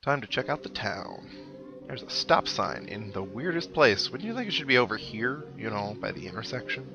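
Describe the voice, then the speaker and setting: A man's voice: medium pitch, sing-song, normal speed, gravelly. A man doing casual let's-play commentary with wry, sarcastic asides, sometimes reading game text aloud. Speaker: gaming show host, player. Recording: dull lo-fi mic, game music behind. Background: synthesized video game music.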